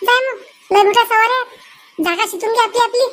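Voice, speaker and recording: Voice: very high-pitched, quick pace, fairly bright tone. A performer voicing a flustered, evasive village husband in a comedy cartoon, with a Varhadi Marathi accent, hurriedly repeating words. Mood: bossy, hurried. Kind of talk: exaggerated cartoon comedy dialogue.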